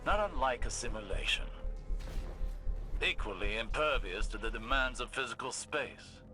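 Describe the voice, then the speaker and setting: Game ally casting: stern, skeptical warrior, mid-pitched, dry and formal, with music clearly underneath. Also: male voice, animated, moderate pace, pushed and bright.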